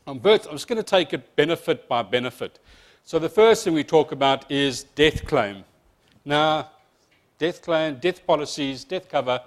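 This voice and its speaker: Seminar presenter: South African man, slightly raised pitch, slight speech impediment, quick delivery with dry asides to the room. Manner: fast-talking presenter, jokey asides. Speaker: insurance product presenter. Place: seminar room with live audience.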